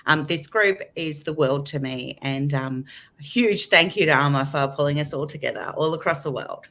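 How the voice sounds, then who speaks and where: Aussie woman on a panel, bright sharp voice, kinda flustered and chatty. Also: low-pitched, moderate pace. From Australia. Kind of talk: casual panel discussion.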